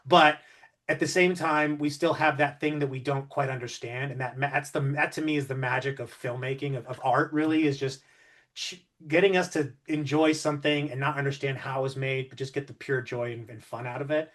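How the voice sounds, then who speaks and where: A man's voice: medium pitch, fairly even intonation, a little fast, fairly bright tone. A man co-hosting an interview, chatting casually and musing at length, often circling back to rephrase. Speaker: interviewer, co-host. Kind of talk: casual discursive conversation.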